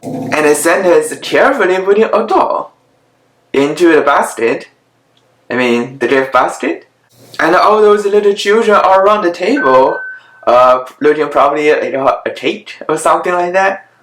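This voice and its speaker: A storyteller reading children's stories aloud in a halting, deliberate way, with simple conversational asides. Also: mid-range voice, animated, fairly bright tone. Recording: roomy, echoing home recording.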